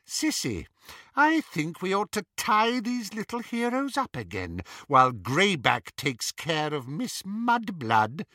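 A man doing a shrill, sneering cruel witch. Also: huge pitch swings, slow, sharp tone, dry recording, clean studio sound, heavily acted. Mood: menacing. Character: cruel shrieking witch woman, menacing. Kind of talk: audiobook character voice.

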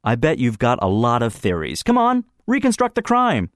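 A man voicing a tense teenage boy who jokes loudly to hide his nerves, strained and offhand. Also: mid-range voice, wildly swooping pitch, slow pace, no room sound, studio quality, voice pushed up. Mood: nervous joking. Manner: strained, offhand, overloud bluster.

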